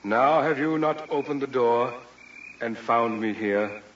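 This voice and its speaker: An actor playing a vengeful, scheming French duke who poses as a police inspector, in a formal old radio melodrama style. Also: male voice, medium pitch, slow, fairly bright tone, muffled recording. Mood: accusing. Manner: theatrical, formal. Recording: distant, echoey, hissy vintage radio recording.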